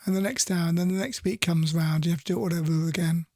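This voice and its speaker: Male soul-radio presenter, informal and chatty, fry in the voice, recorded dry and close, loose unscripted delivery. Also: on the high side, fairly even intonation, fast, mellow, no echo. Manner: chatty, rambling, self-correcting. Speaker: radio DJ and show host. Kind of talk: casual radio presenting between soul songs.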